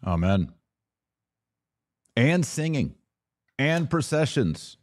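A man's voice: mid-range voice, sing-song, very slow, no echo, studio quality. A man hosting a podcast, giving conversational religious commentary in short, emphatic phrases. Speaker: podcast host.

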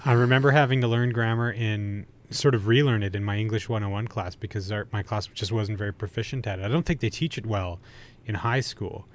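Casual man hosting a quick-fire interview. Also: on the low side, slight background noise. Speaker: podcast co-host and interviewer.

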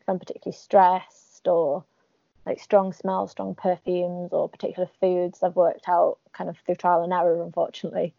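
A woman's voice: lowish voice, level tone, moderate pace, creaky, warm tone, no room sound, muffled recording. A woman from Northern England with a Northern English accent, chatting casually and wryly as a podcast guest. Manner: chatty, wry, laughs at herself. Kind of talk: casual interview chat.

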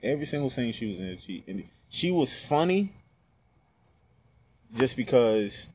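A man in relaxed, joking, colloquial chat about movies. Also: medium pitch, unhurried, slightly rough. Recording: muffled lo-fi recording with faint hiss.

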